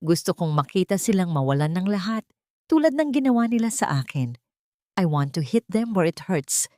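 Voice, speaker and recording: A woman's voice: on the low side, very animated, normal speed, warm tone, dry recording, studio quality. A woman voicing a wronged young woman who speaks in a cold, firm way in an audiobook drama.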